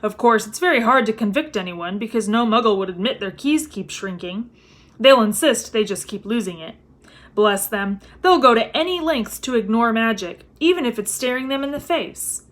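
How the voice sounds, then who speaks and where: Storybook wizard father read by a woman: sheepish, good-natured, hesitant, slightly high voice. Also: lively intonation, normal speed, a bit bright. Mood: weary. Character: mild, sheepish, enthusiastic middle-aged wizard father.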